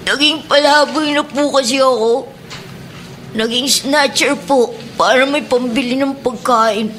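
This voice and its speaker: Radio drama child role: reformed little Filipino street snatcher, very high voice, deferential, sincere, slight noise behind. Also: female voice, fairly even intonation, measured pace, fairly bright tone, poor audio. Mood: earnest, confiding. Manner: polite, deferential. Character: earnest, polite adopted schoolchild, reformed young street snatcher named Mark.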